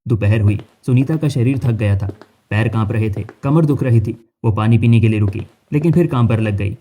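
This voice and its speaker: A man's voice: on the low side, a little fast, mellow, soft background music. A man narrating a scripted cartoon story as its narrator.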